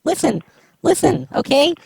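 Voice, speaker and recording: A woman's voice: sits high, animated, unhurried, gravelly, warm tone, dry recording, clean studio sound, exaggerated voice. A woman playing a flippant, dismissive city official on an improvised prank call, blunt and nonchalant. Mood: impatient, brusque.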